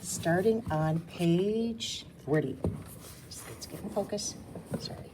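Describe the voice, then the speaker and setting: A woman's voice: on the low side, takes it slowly, heavy background noise. A woman reading a children's novel aloud informally, now and then stumbling and correcting herself. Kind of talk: storybook read-aloud, informal.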